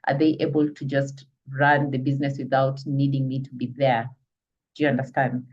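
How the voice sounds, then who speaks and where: A business trainer with a Kenyan accent gives an online lecture, explaining step by step and often asking listeners if they follow. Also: mid-range voice. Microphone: video call. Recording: distant, muffled call audio.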